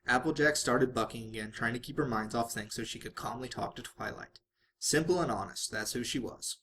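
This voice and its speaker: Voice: mid-range voice, brisk, a bit bright, a little hiss or hum. An amateur reader narrating a story aloud in the manner of a home-made audiobook. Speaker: fan fiction reader.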